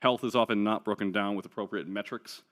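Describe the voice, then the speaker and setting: Guy w/ a lowish voice doing a laid-back conference talk, jokey asides, lots of ums. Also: steady tone, quick pace, fairly bright tone. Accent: none New Zealand. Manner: casual, chatty, wry asides with many ums. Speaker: conference speaker. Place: conference hall.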